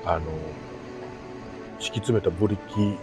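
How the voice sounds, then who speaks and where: A man's voice: fairly low pitch, fairly even intonation, a little slow, over loud music. A middle-aged man from Japan talking casually to camera as a hobbyist vlogger, in an easygoing, rambling, self-deprecating way. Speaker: amateur radio hobbyist vlogger. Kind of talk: casual vlog talk to camera.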